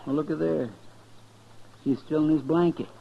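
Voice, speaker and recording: A man's voice: fairly high pitch, fairly even intonation, normal speed, vocal fry. A man playing a folksy, deferential cowboy sidekick in a 1950s radio western, with a drawling American accent. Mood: somber, puzzled. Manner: drawling, folksy, plain-spoken. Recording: dull, noisy 1950s radio recording.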